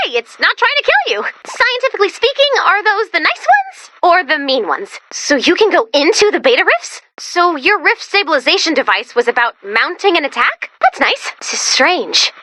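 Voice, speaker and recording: A woman voicing a perky, brainy young female robot assistant in a video game, with an excitable, earnest, quick-witted delivery. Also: high-pitched, a little hiss or hum, heavily acted. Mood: excited, then puzzled, wry. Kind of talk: animated video game voice acting.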